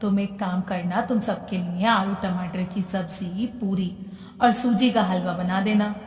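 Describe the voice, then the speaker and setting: A woman voicing a kind but firm middle-aged mother-in-law in a cartoon story, speaking gently and patiently. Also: medium pitch, fairly even intonation, measured pace, pitched below the performer's own voice, lightly acted. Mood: gentle. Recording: roomy echo, distant from mic.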